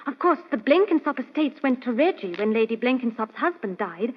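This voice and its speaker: A woman's voice: on the high side, animated, a little fast, slightly rough. A woman playing a flustered, earnest young lady's companion in an old-time radio mystery, pleading and chatty in a genteel way. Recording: dull, muffled old radio recording.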